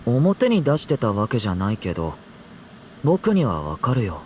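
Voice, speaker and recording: A man playing a gentle, earnest young dragon in human form, acted in anime game style. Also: medium pitch, wildly swooping pitch, quick pace, slight background noise. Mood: concerned.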